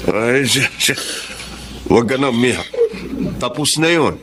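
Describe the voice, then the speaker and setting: A Filipino actor playing a gentle, consoling older uncle in a radio drama. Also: male voice, medium pitch, animated, unhurried, a little hiss or hum.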